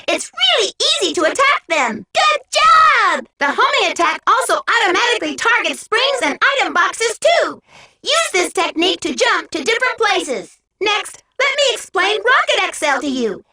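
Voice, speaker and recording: A woman's voice: extremely high pitch, bright, forceful tone, exaggerated voice. A woman voicing a small, cheerful, childlike robot helper who gives eager, encouraging tutorial instructions in a video game. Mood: excited praise. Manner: peppy, encouraging, clearly instructive.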